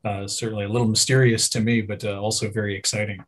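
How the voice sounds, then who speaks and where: A man's voice: fairly low pitch, level tone, fast talker. A middle-aged man hosting an interview over a video call, speaking hesitantly with frequent fillers. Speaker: interview host. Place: room at home. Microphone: video call webcam. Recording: dull lo-fi video call audio.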